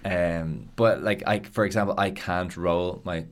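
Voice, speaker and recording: A man's voice: low-pitched, steady tone, measured pace. An Irish man hosting a podcast, chatting casually and laughing easily as he tells stories. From Ireland. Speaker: podcast host. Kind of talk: casual interview chat.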